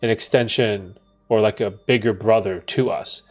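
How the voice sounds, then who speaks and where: A man's voice: lowish voice, fairly even intonation, moderate pace. Man in his thirties explaining casually. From Bermuda, raised in Canada. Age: 30s. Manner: explains at length, tags with "right?". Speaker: streamer hosting a Q&A. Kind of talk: casual chat. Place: home in Toronto.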